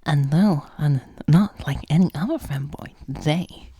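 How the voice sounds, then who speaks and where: A young British man giving a playful ASMR roleplay tutorial straight to the listener, mock-instructive and slipping at times into breathy whispers. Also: fairly high pitch, a little slow, mellow, no echo. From United Kingdom. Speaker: ASMR roleplay creator and host.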